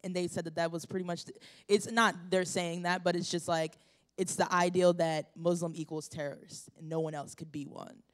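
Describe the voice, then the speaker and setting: Smooth-voiced student, rambling emphatic talk. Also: mid-range voice, level tone, a little fast. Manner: rambling, filler-heavy, emphatic. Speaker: student in a discussion. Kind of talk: casual spoken opinion.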